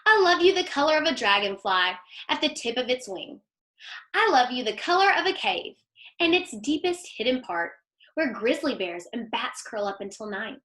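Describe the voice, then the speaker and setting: A woman reading the part of a gentle, loving storybook mother, tender and admiring with her young sons. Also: on the high side, very animated, normal speed, reverberant.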